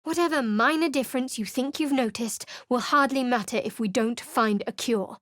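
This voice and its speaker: A woman's voice: fairly high pitch, normal speed, fairly bright tone, no room sound, natural voice. A woman voicing a guarded, sardonic young cleric adventurer in a video game, speaking with dry, cutting wit and cool reserve. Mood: wry.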